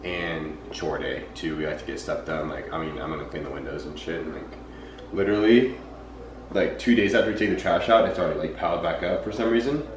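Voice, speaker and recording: A young man with a deep, low voice rambles easily to a camera held close. His talk is loose and unhurried. Steady hiss and noise sit behind him. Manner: laid-back, rambling, low-key. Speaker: fitness vlogger. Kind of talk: casual vlog narration. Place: apartment kitchen and parked car. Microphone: handheld camera held close.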